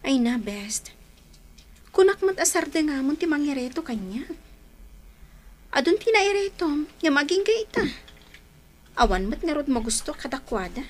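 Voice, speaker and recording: A woman's voice: sits high, very animated, moderate pace, long pauses. A woman acting a grieving young woman in a Filipino radio drama, hesitant and sighing. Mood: wistful, resigned. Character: Jasmine, young woman still grieving lost love.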